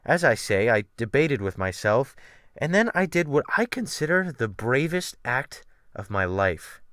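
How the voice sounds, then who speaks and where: A man giving a theatrical, expressive first-person dramatic reading of a literary sea tale as an audiobook narrator. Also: medium pitch, a little slow, no echo, clean studio sound. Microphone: USB desktop microphone.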